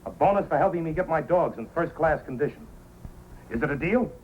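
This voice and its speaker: An actor playing a scheming, desperate sled racer with a conspiratorial manner in old-time radio drama style. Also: male voice, a little high, animated, a little fast, lightly acted. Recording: muffled, noisy old radio recording.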